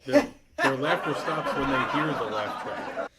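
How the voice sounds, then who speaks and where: A man's voice: medium pitch, very animated, unhurried, very noisy. A man reading out stage directions as scripted narration at a table read.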